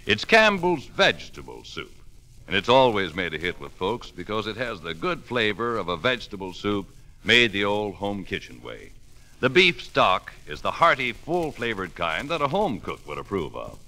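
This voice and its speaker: A man's voice: on the low side, wildly swooping pitch, normal speed, sharp tone. A male announcer reading scripted commercial copy in a folksy, salesmanlike 1940s radio style. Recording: vintage 1940s radio broadcast.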